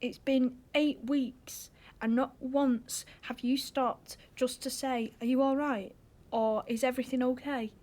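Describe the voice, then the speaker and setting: High-pitched, young woman, British accent, acted frustrated girlfriend, emotional, pleading, audio drama. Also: a little mellow. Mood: hurt. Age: young adult. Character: frustrated, hurt young girlfriend stuck in lockdown.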